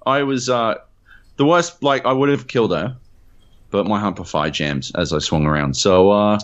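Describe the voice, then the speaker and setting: A man's voice: on the low side, moderate pace, highs cut off, a little hiss or hum. A man with an Australian accent, co-hosting a podcast and telling a long, swear-filled story with lots of "uh" and "like". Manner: animated, profane storytelling with many fillers. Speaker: podcast co-host. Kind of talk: casual podcast chat.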